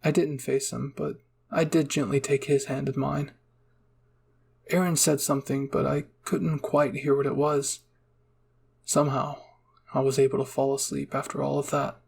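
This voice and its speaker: A man narrating first-person fan fiction as a roleplay reading, in a soft, dramatic storytelling manner. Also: mid-range voice, level tone, normal speed, creaky, a little hiss or hum.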